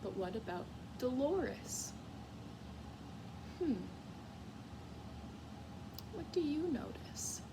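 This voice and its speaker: A woman teacher giving a read-aloud lesson to young children in an expressive, encouraging way, often putting questions to her listeners. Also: high-pitched, very slow, long pauses, noisy background. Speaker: music teacher. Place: school classroom, in a reading corner.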